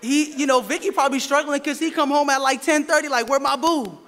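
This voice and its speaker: A man in his thirties preaching a sermon from a pulpit mic, animated and playful, bantering with the congregation. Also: very high-pitched, brisk, over music, crowd noise. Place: church sanctuary, indoors. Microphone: stand mic at a pulpit. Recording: reverberant church hall with faint congregation.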